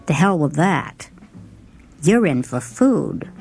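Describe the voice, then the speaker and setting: A woman's voice: low voice, sing-song, takes it slowly, creaky, darkish tone, faint music behind, pitched below the performer's own voice, full character voice. A woman voicing a huge, resigned female inmate, blunt and knowing, in a dramatic story reading.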